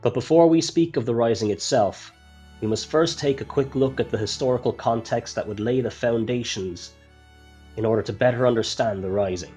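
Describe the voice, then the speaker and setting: An Irish man narrates history like a storyteller, measured and solemn, leaning into pauses. His voice sits at an ordinary middle pitch. Quiet music plays underneath. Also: husky. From Ireland.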